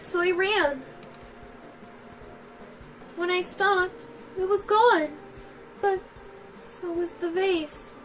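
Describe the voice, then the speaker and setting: A woman's voice: very high voice, fairly bright tone, voice pushed up, heavily acted, poor audio. A woman voicing a timid, frightened young girl who speaks meekly in short, hesitant phrases.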